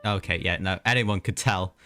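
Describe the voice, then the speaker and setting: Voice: sits low, normal speed, fairly bright tone. A game streamer giving casual, reactive live commentary in short, chatty interjections. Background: game music.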